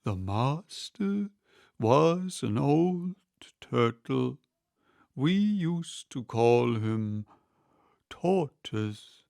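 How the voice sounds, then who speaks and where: A man voicing a sorrowful, irritable Mock Turtle in a storybook reading, with a deep, hollow tone and halting, drawn-out words. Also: big pitch swings, creaky, a little mellow, dry recording, studio quality, full character voice. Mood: mournful. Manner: very slow, drawn-out, halting delivery.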